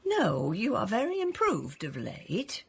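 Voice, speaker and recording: Fussy, well-meaning older housekeeper, very breathy, creaky voice, animated period radio drama delivery. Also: female voice, medium pitch, slow, full character voice. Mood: worried warning. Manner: hesitant, fretful.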